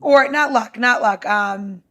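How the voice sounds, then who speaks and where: Higher-voiced woman in excitable casual chat. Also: slow pace.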